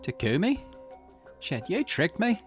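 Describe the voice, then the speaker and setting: Man voicing a high-pitched, defiant drugged young woman. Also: sing-song, measured pace, over music. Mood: angry defiance. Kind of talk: visual novel character reading.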